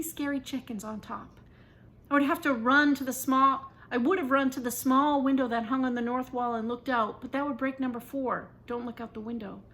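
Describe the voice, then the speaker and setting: A woman reading a first-person children's story aloud in an expressive, dramatic and emphatic way. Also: high voice. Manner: animated, dramatic, emphatic delivery.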